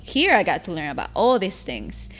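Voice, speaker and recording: A woman's voice: lowish voice, huge pitch swings, moderate pace, fairly bright tone. A young woman from Ecuador, a guest talking casually and chattily, full of "you know" fillers. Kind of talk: casual interview talk.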